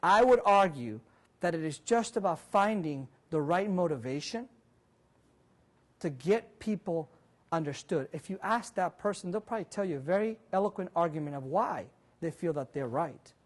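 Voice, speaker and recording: A man in his forties giving an animated career seminar in a large conference room, moving about and quizzing the audience, picked up by a distant camera. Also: a little high, moderate pace. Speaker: seminar speaker. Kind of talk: interactive career seminar talk. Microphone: far camera, room mic.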